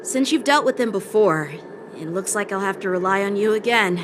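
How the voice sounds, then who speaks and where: A woman voicing a polite, reluctant official in a video game, speaking in a measured way. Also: mid-range voice, lively intonation, noisy background, natural voice. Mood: worried, hopeful. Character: polite, reluctant female official seeking help.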